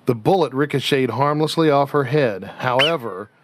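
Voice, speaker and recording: A man's voice: mid-range voice, normal speed, no room sound, natural voice. A man reading scripted story narration for a radio drama.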